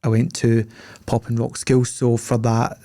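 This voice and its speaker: A man's voice: medium pitch, monotone, measured pace, warm tone, no room sound, studio quality. A young man with a Scottish accent hosting a podcast, chatting in a loose, rambling way full of fillers. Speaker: podcast host. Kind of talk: casual interview chat.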